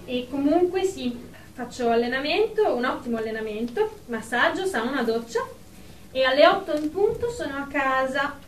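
A woman's voice: high-pitched, animated, normal speed. An actress playing a haughty, self-important career woman in a radio drama therapy session. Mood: smug. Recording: echoey room, distant mic, faint noise.

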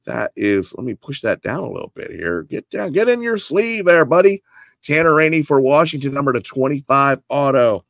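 Hoarse man hyping trading cards. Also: medium pitch, big pitch swings, moderate pace, a bit bright, dry recording, clean studio sound. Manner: enthusiastic salesman, showing cards to camera. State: losing his voice from a cold. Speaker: card subscription box host. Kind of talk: product preview for subscribers. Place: home office room with shelves. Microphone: webcam at desk.

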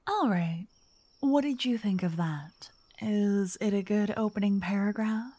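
A woman playing a slow, soft-spoken doting vampire writer. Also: mid-range voice, natural voice. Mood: musing. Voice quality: soft, breathy, often whispered. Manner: teasing, dreamy, old-fashioned wording. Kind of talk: soft ASMR roleplay. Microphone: close, dry microphone.

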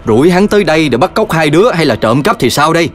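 A man's voice: on the high side, lively intonation, fast, faint music behind, lightly acted. A man voicing a concerned, kindly father dog in a children's cartoon. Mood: alarmed.

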